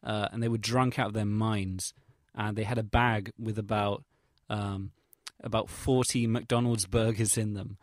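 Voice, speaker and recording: A man's voice: fairly low pitch, flat delivery, a little slow, hardly any pauses, no room sound, studio quality. A young man with an English accent, recounting things in a casual, rambling audio log, hesitant, informal and self-deprecating, with slang and swearing. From England. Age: young adult. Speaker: vlogger.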